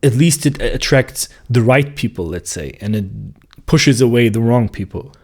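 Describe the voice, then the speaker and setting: A man, a clinical psychologist talking in a slow, reflective monologue and searching for words as he goes. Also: mid-range voice, expressive, darkish tone. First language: German. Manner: thoughtful, searching for words with restarts.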